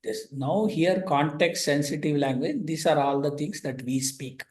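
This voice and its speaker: A man's voice: on the high side, normal speed, a little mellow, roomy sound. An older man from India with an Indian English accent and Kannada as his first language, giving an online lecture with dry asides. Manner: explanatory, pointing at slides, dry asides. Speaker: visiting professor.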